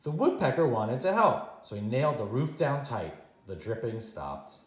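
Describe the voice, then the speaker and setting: A man reading a children's story aloud as a teacher, expressively and with dramatic pauses. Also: medium pitch, sing-song, reverberant. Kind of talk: animated read-aloud storytime narration.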